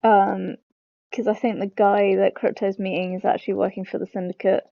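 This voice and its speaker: A woman's voice: medium pitch, little pitch movement, a little fast, creaky, dry recording. A woman hosting a game lore video, explaining it informally as she goes. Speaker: host of a game lore channel. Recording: dull lo-fi close mic.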